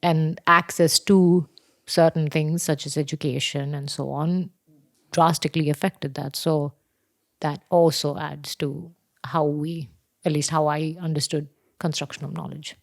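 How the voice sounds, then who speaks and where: A woman's voice: low-pitched, flat delivery, a little slow, gravelly, dry recording, studio quality. A woman from India talking in a podcast discussion, reflective and searching for her words. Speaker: podcast co-host. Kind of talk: casual discussion.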